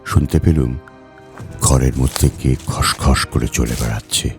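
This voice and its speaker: A man reading a ghost story aloud in a measured, dramatic storytelling manner. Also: very deep voice, steady tone. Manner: measured, acts out the dialogue. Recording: background music under the voice.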